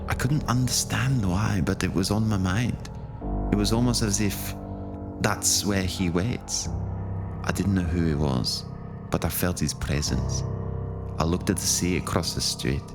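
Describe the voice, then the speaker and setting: A man's voice: low voice, unhurried, music in the background. An actor playing a haunted, down-on-his-luck sailor, narrating an audio drama in a brooding, reflective manner. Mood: brooding dread. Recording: rain and thunder throughout.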